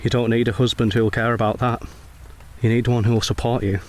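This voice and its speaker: A man playing a brooding, principled young Edwardian gentleman soldier in a radio drama, speaking and narrating in a formal, intense, literary way. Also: mid-range voice, little pitch movement, brisk, close to an everyday voice. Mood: matter-of-fact.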